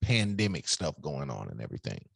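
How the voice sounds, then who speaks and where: A man's voice: rumbling low, sing-song, a little fast, vocal fry, slightly rough, no room sound. A man hosting a casual podcast interview, asking easygoing questions and giving short encouraging replies. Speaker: podcast host.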